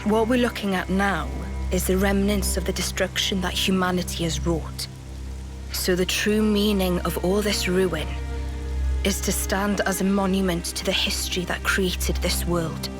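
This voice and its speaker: A woman voicing Nia, a calm and wise young woman. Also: mid-range voice, lightly acted. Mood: solemn. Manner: measured, explanatory. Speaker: game character Nia. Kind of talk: video game cutscene dialogue. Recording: game cutscene with music behind. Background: game music.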